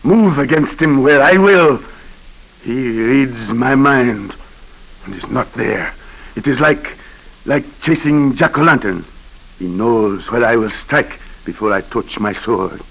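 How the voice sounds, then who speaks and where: Imperious governor, French accent, middle pitch, commanding, impatient, emphatic, vintage radio drama. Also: male voice, expressive, slow pace, gravelly, fairly bright tone, exaggerated voice, poor audio. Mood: frustrated, fervent. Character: imperious, superstitious Haitian governor, former rebel leader, fiery. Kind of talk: 1940s radio drama acting. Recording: vintage 1940s radio broadcast.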